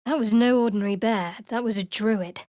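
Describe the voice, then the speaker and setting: A woman voicing a guarded, sardonic young female cleric of a dark goddess in a fantasy video game, with a dry, secretive, teasing manner. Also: fairly high pitch, brisk, dry recording, lightly acted. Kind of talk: video game companion voice lines.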